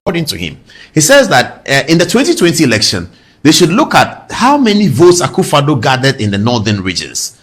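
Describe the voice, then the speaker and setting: A man's voice: a little high, huge pitch swings, a little fast, fairly bright tone. A middle-aged man with a Ghanaian accent hosting a political talk show, telling things conversationally with emphatic repetition and rhetorical questions. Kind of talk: conversational political commentary to viewers.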